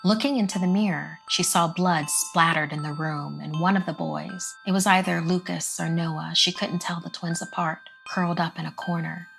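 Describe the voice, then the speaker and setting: Low-pitched woman narrating horror. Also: fairly even intonation, normal speed, gravelly, few pauses, a bit bright, soft background music, poor audio. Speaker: storyteller. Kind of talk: dramatic scripted horror narration.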